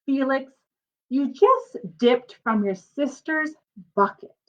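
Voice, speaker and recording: A woman voicing a patient old grandfather in a storybook, gently and deliberately teaching a child a lesson. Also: sits high, expressive, dull audio, heavily acted. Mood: gently admonishing.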